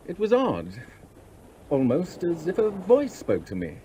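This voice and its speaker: An actor playing a charming, idle English gentleman in a 1970s radio play, suave and teasing with small hesitations. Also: high-pitched, wildly swooping pitch, slow, a little hiss or hum, full character voice. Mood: puzzled, courteous. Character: charming, flirtatious, idle well-off English gentleman, restlessly bored.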